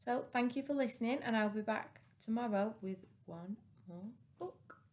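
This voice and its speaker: A woman's voice: on the high side, moderate pace, darkish tone. A woman hosting a children's story time, reading a rhyming picture book aloud expressively.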